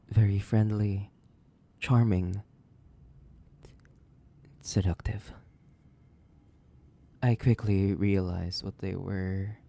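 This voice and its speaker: Man voicing a slow, gravelly, sadistic vampire. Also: on the low side, warm tone, exaggerated voice. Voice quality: gravelly, breathy, often dropping to a whisper. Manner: slow, theatrical, savoring, condescending. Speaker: audio roleplay voice actor. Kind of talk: horror audio roleplay monologue.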